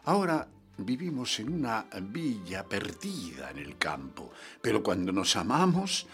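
A man narrating a radio play in the first person, telling his story with lively expression. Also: on the low side, unhurried, soft background music.

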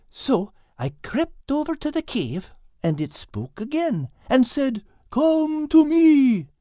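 A man voicing a big, timid, excitable male cat in an audiobook, with a wide-eyed, exclaiming manner. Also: very high voice, dry recording, studio quality, pitched above the performer's own voice, heavily acted. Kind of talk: audiobook character acting.

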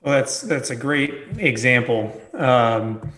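A male host poses polite, slightly tentative questions, doubling words as he works toward his point. His tone is bright and forward. The room around him rings with noticeable echo. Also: mid-range voice, expressive, slow. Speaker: interviewer, host. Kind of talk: podcast interview.

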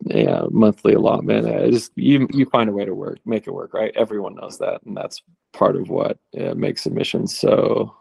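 Gravelly low-voiced man, flat delivery. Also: normal speed, dry recording. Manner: relaxed, rambling with fillers and restarts. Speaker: guest, returned missionary. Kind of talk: conversational interview storytelling.

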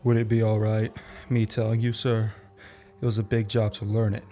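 A man acts a dying music-hall memory performer in a radio drama. His low voice barely moves in pitch, proud and deferential as it recites like rote learning. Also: flat delivery, normal speed, mellow, natural voice. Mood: weak, earnest. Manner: recites technical details by rote. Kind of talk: radio play acting.

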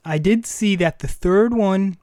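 A man's voice: high-pitched, measured pace, a little mellow, clear, resonant tone, dry recording, studio quality. A man hosting a podcast, chatting casually about pop culture. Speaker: podcast host. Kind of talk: casual chat about anime music and games.

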